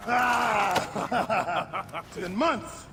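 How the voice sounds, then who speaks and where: An actor playing a hearty, loyal warrior companion in a game cutscene, expressive, familiar and gravelly. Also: male voice, high-pitched, extremely slow, exaggerated voice. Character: hearty, loyal male warrior friend, welcoming and teasing. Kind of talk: video game cutscene acting. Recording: long reverb, clearly noisy background.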